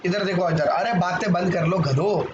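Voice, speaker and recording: A man from India, teaching maths in a live online class, hoarse from a sore throat and bantering with his students. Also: high-pitched, moderate pace. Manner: banters with students, mixes Hindi and English. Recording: muffled, roomy with background noise.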